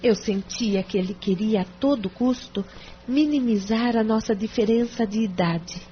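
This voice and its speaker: A woman's voice: a little high, unhurried, natural voice. An actress with a Brazilian accent playing a reserved, embarrassed married woman confiding in a hesitant way in an audio drama. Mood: confiding, sorrowful. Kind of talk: audio drama acting in Portuguese. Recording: dull lo-fi audio with clear noise.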